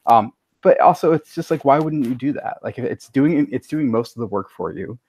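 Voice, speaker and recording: A man's voice: medium pitch, expressive, a little fast, a little mellow, breathy edge, no room sound. A man talking casually as a podcast panelist, conversational and a little self-deprecating.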